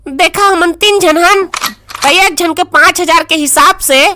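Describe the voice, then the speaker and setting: A sassy young cartoon bridesmaid acted out by a performer with a Chhattisgarhi accent. The voice is extremely high and bright, stubborn and insistent, as if driving a hard bargain. Faint noise sits behind it. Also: measured pace, heavily acted. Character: sassy young bridesmaid haggling stubbornly over shoe money. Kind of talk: comic cartoon dialogue.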